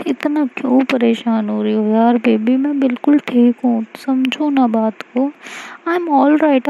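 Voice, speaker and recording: A woman's voice: sits high, dark tone, a little hiss or hum, pitched above the performer's own voice. A woman doing a teasing, reassuring young woman. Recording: slightly dull lo-fi recording.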